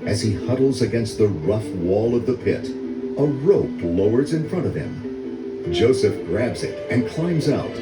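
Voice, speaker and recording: An older man narrates a children's story with measured drama. His voice is low and sweeps widely in pitch, with music clearly playing underneath in a roomy echo. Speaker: read-along story narrator. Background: orchestral music.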